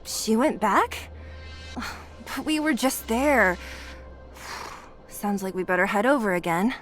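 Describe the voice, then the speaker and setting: A woman voicing a spirited, earnest young fighter in an anime-style game, expressive and confident. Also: medium pitch, slow pace. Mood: weary. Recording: faint music behind.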